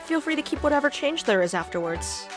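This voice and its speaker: Casting: woman as polite, friendly creature shopkeeper for audio drama, higher-set and animated. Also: quick pace, music in the background. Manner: friendly, courteous. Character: friendly, polite female creature who runs a cafe. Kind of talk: audio drama character acting.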